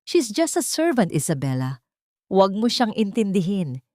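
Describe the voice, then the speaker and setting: A woman's voice: mid-range voice, big pitch swings, normal speed, warm tone, no echo, studio quality, lightly acted. A woman voicing a haughty, cruel young wife with a Filipino accent, scornful and sneering, in an audio drama. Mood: dismissive.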